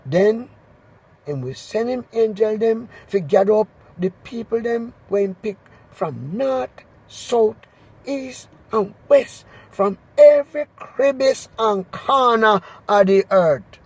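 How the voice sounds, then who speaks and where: High-pitched Jamaican man reading scripture. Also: expressive, slow pace, a little mellow, breathy edge, rough recording. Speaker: Bible reader. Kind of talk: expressive scripture reading in Patois.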